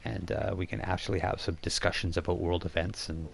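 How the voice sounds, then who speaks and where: A man hosting a tabletop role-playing stream, chatting casually and explaining things in a halting way with false starts. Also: low-pitched, fairly even intonation, gravelly. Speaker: game master and live stream host. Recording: faint background noise.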